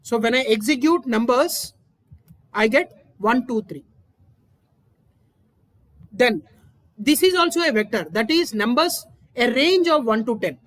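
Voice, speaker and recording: High-voiced woman teaching coding. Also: unhurried, long pauses, no echo. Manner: steady step-by-step explaining, frequent "right?". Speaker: instructor. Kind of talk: screen-recorded coding lesson.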